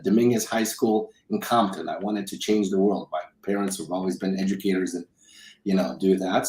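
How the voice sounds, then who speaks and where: Low-voiced man in an echoey room. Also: darkish tone. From Romania, raised in South Dakota from childhood. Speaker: interview guest, film executive producer and financial advisor. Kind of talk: conversational interview storytelling.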